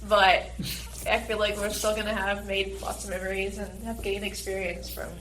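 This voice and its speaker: A teenage girl gives casual answers as a student guest. Her medium-pitched voice comes through an echoey room with clear noise and clicks. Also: level tone, normal speed. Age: teens. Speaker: high school theater student guest.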